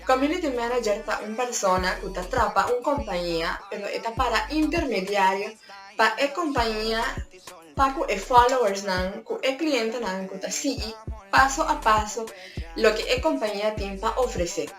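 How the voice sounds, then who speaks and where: A woman's voice: a little high, normal speed, fairly bright tone. Chatty Papiamento-accented woman vlogger. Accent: Curaçao Papiamento. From Curaçao. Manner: chatty, switching between languages. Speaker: YouTuber. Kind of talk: casual explainer vlog. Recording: echoey room with faint music.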